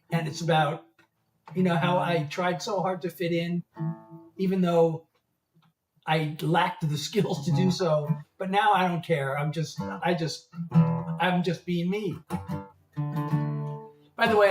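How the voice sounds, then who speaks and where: A chatty man in his seventies talks loosely to his livestream viewers, wandering from thought to thought and breaking into laughter. He sits back from the microphone in an echoey room, with guitar music clearly audible behind him. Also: a little high. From United States. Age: 70s. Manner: rambling, chatty, laughs often. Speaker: singer-songwriter. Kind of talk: casual livestream chat. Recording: roomy, distant mic, guitar music behind.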